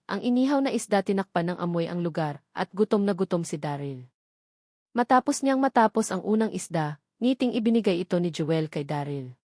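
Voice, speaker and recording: A woman reading a novel aloud as scripted narration, with measured delivery. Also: medium pitch, darkish tone, no echo, studio quality. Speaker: audiobook narrator.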